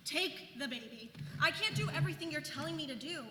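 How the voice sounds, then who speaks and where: A woman's voice: sits high, lively intonation, a little fast. A woman acting a chatty, fed-up colonial young mother in a staged play reading, gossipy and sarcastic in modern casual speech. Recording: echoey hall, faint noise.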